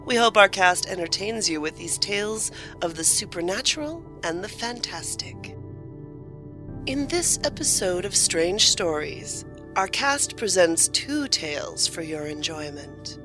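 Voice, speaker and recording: A woman playing the solemn, wry narrator of an anthology of strange tales, with a deliberate, theatrical delivery. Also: on the low side, lively intonation, pushed and bright. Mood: solemn, intriguing. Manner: deliberate, dramatic. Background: music.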